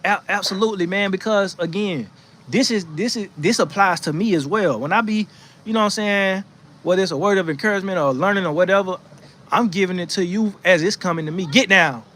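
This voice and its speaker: A man's voice: high voice, fairly quick, a bit bright. A man hosting a faith livestream from his porch, giving casual spiritual encouragement and reading the chat, with a chatty, preachy manner full of restarts. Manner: preachy and conversational, frequent restarts and "you know what I'm saying". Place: porch outdoors, sitting in a rocking chair.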